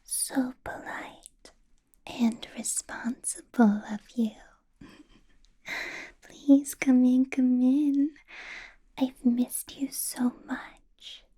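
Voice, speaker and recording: A woman's voice: high voice, fairly even intonation, very slow, long pauses, mellow, husky, exaggerated voice. Woman whispering as a teasing vampire boss. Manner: whispered throughout, teasing, menacing, playful laughs. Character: centuries-old female vampire boss, teasing, possessive. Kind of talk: ASMR roleplay.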